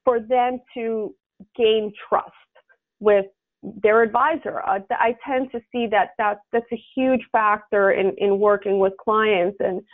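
A woman's voice: medium pitch, fairly even intonation, unhurried. A woman from the United States explaining things earnestly, with examples, as an advisor being interviewed. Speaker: interview guest, estate planning and tax advisor. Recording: thin, narrow phone-line sound.

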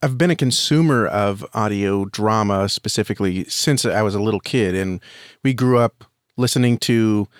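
A man speaking as a podcast guest, giving measured, thoughtful answers in a casual interview. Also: on the low side, clean tone, no echo, studio quality.

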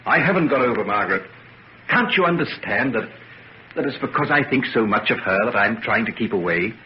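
An actor playing an earnest, brooding young gentleman in an old-time radio drama, with an intense, clipped delivery. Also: mid-range voice, lively intonation, brisk, natural voice. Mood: impassioned. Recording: muffled, noisy old radio recording.

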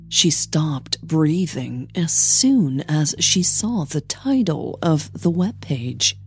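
A woman's voice: sits low, dark tone, slightly rough. A woman narrating a scripted piece of fiction as a dramatic storyteller.

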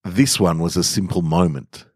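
A man hosting a podcast and reading literary stories in a measured, deliberate way. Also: deep voice, husky, dry recording, studio quality. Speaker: podcast host and story reader. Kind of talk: literary story narration and podcast outro.

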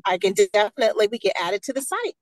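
A woman's voice: medium pitch, sing-song, rapid-fire. A woman who runs a wine club, explaining the wines and member discounts in a casual, businesslike way. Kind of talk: casual podcast chat.

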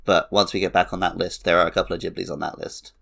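A man with a gravelly voice chatting casually as a podcast co-host. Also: low voice, little pitch movement, fast talker, a bit bright.

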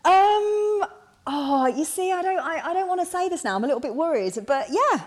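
A woman's voice: very high-pitched, animated, moderate pace. A middle-aged woman from Birmingham with a Brummie accent, bantering casually and playfully as a radio presenter guesting on a podcast. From Birmingham, England.

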